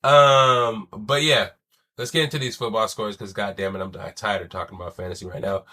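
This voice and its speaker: Young man, podcast host, stuffy and wheezy, low-pitched voice, casual slangy sports chatter. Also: steady tone, a little fast, bright, forceful tone. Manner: loose and conversational, swears, slangy asides. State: wheezy and stuffy from a chest cold. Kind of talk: casual solo sports talk.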